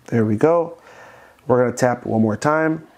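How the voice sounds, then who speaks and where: A man's voice: medium pitch, moderate pace, darkish tone. A man hosting a step-by-step tech walkthrough for beginners, explaining simply and reassuringly. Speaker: tech tutorial host.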